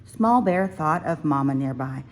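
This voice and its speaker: A woman reading a children's picture book aloud as scripted narration, with expressive, deliberate phrasing. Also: deep voice.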